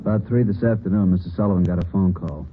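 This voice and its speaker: A man's voice: sits low, fast talker, loud music behind the voice, lightly acted. A man playing an officer giving a clipped, factual report in a 1950s radio drama. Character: male guard officer reporting. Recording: tinny old radio broadcast.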